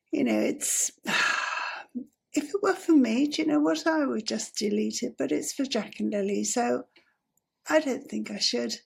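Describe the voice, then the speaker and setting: A woman's voice: a little high, gravelly, slightly rough. A woman, chatting casually to camera on her own channel with a dry, self-deprecating, rambling manner. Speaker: YouTuber. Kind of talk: casual vlog chat to camera.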